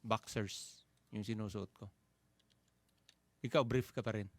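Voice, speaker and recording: A man's voice: lowish voice, fairly even intonation, unhurried, dry recording. A Filipino man co-hosting a radio show, telling stories playfully and joking with laughter in his talk. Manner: jokey, laughs as he talks. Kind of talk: playful comic storytelling.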